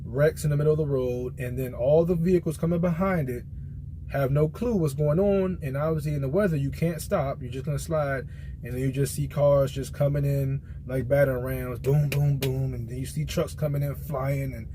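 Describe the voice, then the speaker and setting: A man's voice: medium pitch, steady tone, normal speed, mellow, a little hiss or hum. An American man who drives trucks, talking casually and informally in a rambling vlog, telling stories from experience. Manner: conversational storytelling, informal. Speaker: truck driver vlogger giving a product opinion.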